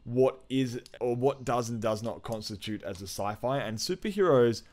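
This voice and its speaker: A man's voice: mid-range voice, moderate pace, a little mellow. A man with an Australian accent hosting a podcast in a blokey, informal, sweary chat. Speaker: podcast host.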